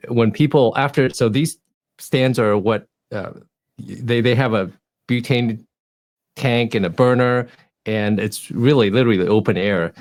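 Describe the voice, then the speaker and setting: A man's voice: mid-range voice, unhurried, darkish tone. A man in his fifties, from Taiwan, talking in a casual panel discussion as a clinician guest, thoughtful and hesitant, often correcting himself. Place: studio.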